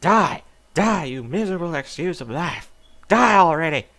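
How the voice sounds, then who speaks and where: A man's voice: a little high, very animated, moderate pace, creaky, fairly bright tone, pitched above the performer's own voice, exaggerated voice. A man voicing an enraged female farm pony who screams accusations. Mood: murderous. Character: furious female farm pony, turned violent.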